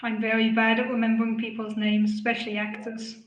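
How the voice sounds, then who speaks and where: A woman's voice: fairly high pitch, monotone, fairly quick, crowd noise. A woman with a British accent coloured by German, chatting casually as a panelist on a livestream. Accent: British with German influence. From Britain. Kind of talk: casual chat. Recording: roomy echo.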